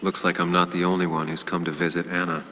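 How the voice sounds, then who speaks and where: An actor playing a troubled, earnest journalist turned amateur detective in a video game. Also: male voice, low-pitched, flat delivery, quick pace, pushed and bright, slight background noise. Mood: subdued. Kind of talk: video game voice acting, inner monologue and dialogue.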